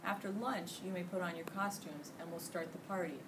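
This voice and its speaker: An American woman voicing a stern, orderly female schoolteacher with measured instructions. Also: lowish voice, close to an everyday voice. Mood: firm. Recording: distant mic, loud room noise.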